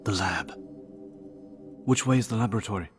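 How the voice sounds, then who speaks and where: A tense male squad leader in a horror audiobook, voiced by a man narrating. His low voice is firm and frustrated, and music plays faintly behind him. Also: normal speed, close to an everyday voice. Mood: firm, urgent.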